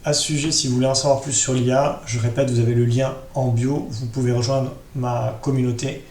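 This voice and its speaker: A man's voice: mid-range voice, level tone, fairly quick, a little mellow. A man giving an informal step-by-step screen-share tutorial, talking directly to his viewers. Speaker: tutorial host. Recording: roomy echo with clear background noise.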